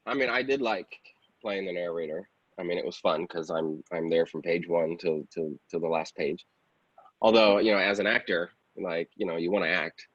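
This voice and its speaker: A man's voice: low voice, a bit bright. A man chatting casually and easily as an interview guest who works in acting and film. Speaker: guest actor and filmmaker. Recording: dull, roomy lo-fi audio.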